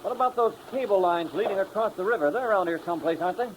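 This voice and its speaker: A man playing a brisk young American who takes charge of a search in a 1950s radio drama. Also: sits high, animated. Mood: puzzled. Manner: urgent, take-charge. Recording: noisy old radio, faint background voices.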